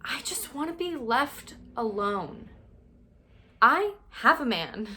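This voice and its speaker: A woman's voice: high-pitched, sing-song, slow pace, pushed and bright, full character voice. A woman performing a comedic monologue as an exasperated young woman, sarcastic and fed up. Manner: exasperated, sarcastic, mocking men's lines.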